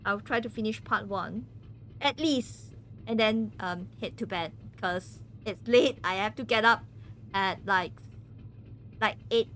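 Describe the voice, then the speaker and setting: A woman's voice: medium pitch, takes it slowly, fairly bright tone. A woman from Malaysia, chatting casually with viewers on a game stream. She giggles often and stumbles over words.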